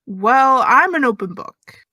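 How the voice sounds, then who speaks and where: A woman's voice: high-pitched, animated, slow pace, sharp tone, dry recording, studio quality, full character voice. An actress playing a snarky teenage girl who co-hosts a podcast and reads the mailbag with teasing sarcasm. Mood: sarcastic. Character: snarky teen girl podcaster reading mail. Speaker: podcast co-host.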